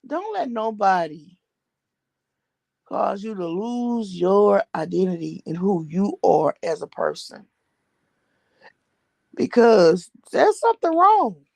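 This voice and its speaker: A woman's voice: medium pitch, sing-song, takes it slowly, creaky, long pauses, darkish tone, no room sound. An American woman giving casual gossip commentary, blunt and slangy with plenty of swearing, repeating phrases for emphasis. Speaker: vlogger commenting on social media personalities.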